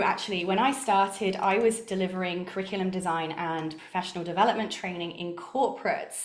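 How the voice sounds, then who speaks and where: A woman with a fairly low voice presents to an online group, brisk and enthusiastic, stringing long points together without pause. She sounds like she is on a video call in an echoey room. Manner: brisk, enthusiastic, talks in long flowing runs. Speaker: guest speaker.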